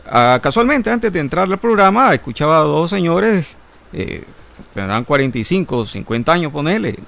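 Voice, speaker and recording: Man, criminal lawyer, gravelly, fairly high voice, lecturing with hesitations. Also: big pitch swings, moderate pace, fairly bright tone. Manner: lecturing, legal jargon, frequent hesitations. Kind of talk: radio panel discussion.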